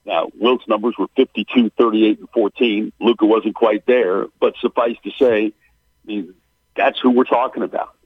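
A man's voice: on the low side, steady tone, normal speed, breathy edge, dry recording. A man, a basketball announcer as guest, answering emphatically and leaning on "I mean". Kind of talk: radio interview answers. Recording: phone line.

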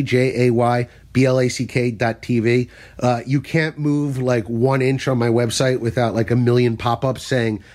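A man who is a stand-up comedian, telling self-deprecating stories in casual podcast chat. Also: medium pitch. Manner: storytelling, self-deprecating, animated when telling bits.

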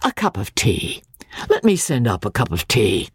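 A woman voicing a smooth, patronizing young hotel clerk who flatters and soothes. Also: a bass voice, moderate pace, no echo, full character voice. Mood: soothing.

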